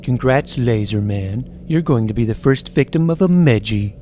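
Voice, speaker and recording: A man's voice: medium pitch, animated, moderate pace, dark tone, a little hiss or hum, natural voice. A man playing a smug, menacing young bully supervillain who taunts his victims in an audio drama. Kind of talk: audio drama villain acting.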